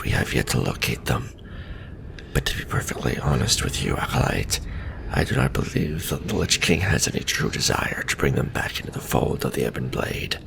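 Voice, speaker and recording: Undead veteran death knight played by a man, extremely deep and crackling, half-whispered, formal stern mentor, fantasy ASMR, noisy backdrop. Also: creaky, heavily acted. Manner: measured, formal, instructive.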